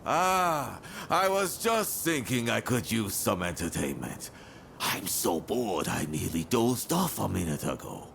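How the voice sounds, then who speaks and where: A man's voice: lowish voice, big pitch swings, a little slow, vocal fry, fairly bright tone, slight background noise, full character voice. A man voicing a bored, cocky video game villain who taunts lazily and wants a fight. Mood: bored, taunting.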